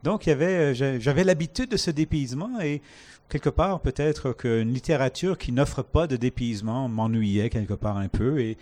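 Canadian guy on a panel, thinking out loud, kinda searching for words. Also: mid-range voice. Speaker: panel guest, science fiction author. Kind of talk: reflective panel talk.

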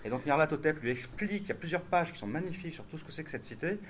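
A man's voice: fairly high pitch, expressive, very fast, fairly bright tone, slight background noise. A man translator speaking on a festival panel, answering audience questions with many restarts and fillers. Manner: hesitant, restarts often, thinks aloud.

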